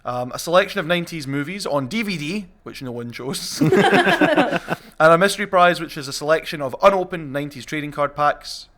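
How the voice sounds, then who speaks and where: A man's voice: on the high side, brisk, fairly bright tone. A man hosting a casual group podcast chat and reading out quiz questions as quizmaster.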